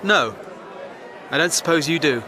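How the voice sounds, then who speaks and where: Higher-voiced English war correspondent. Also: male voice, expressive, crowd noise, noisy background. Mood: measured, inquiring. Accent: educated English. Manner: measured, polite, formal. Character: civil, educated English war correspondent, probing and measured. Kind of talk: audio drama acting.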